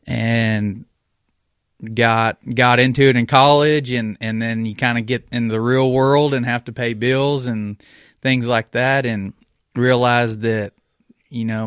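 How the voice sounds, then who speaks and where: A man's voice: mid-range voice, a bit bright, clean tone, dry recording, studio quality. A young man talking as a podcast guest in a laid-back, plainspoken way, with hesitant fillers. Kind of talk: casual interview chat. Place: podcast studio.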